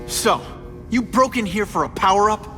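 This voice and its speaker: An actor playing a quick-witted, cocky young superhero in a video game, casual, wry and teasing. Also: male voice, high-pitched, pushed and bright, faint music behind. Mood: confident, probing. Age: young adult.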